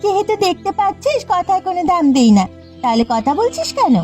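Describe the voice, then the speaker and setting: A woman voicing a brash, scheming young village woman in a cartoon, cheeky and sharp-tongued with defiant delivery. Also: very high-pitched, sing-song, a little fast. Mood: scornful. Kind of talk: cartoon dialogue. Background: faint music.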